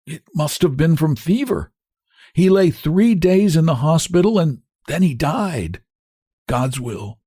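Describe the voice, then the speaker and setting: A man voicing a meek, grieving old sledge driver who speaks huskily and haltingly. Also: on the high side, animated, dark tone, dry recording, clean studio sound, voice pushed up, exaggerated voice. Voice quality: husky. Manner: halting, hesitant, with fillers.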